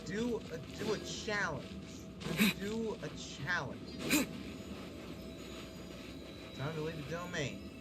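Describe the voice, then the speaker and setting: A man's voice: high voice, expressive, slow, pauses often. A man gamer giving casual commentary as he plays. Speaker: gamer playing through a quest. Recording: loud game music behind the voice.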